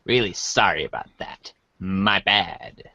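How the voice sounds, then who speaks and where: Halting man reading aloud, muffled sound. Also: low-pitched, bright, forceful tone, dry recording. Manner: halting, stumbles over lines. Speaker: podcast reader. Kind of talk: dramatic read-aloud of a webcomic script.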